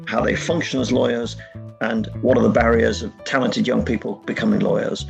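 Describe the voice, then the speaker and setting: A man with a gravelly voice hosting a podcast in a formal, measured, scripted style. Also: medium pitch. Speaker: podcast host.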